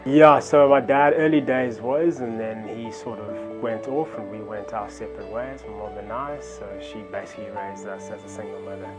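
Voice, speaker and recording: A man's voice: lowish voice, steady tone, normal speed, hardly any pauses. A South African man speaking earnestly as a panelist, reflective and full of "you know". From South Africa, near Somerset West. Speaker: panelist, former pupil. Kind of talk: panel discussion and interview. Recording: echoey hall with faint music.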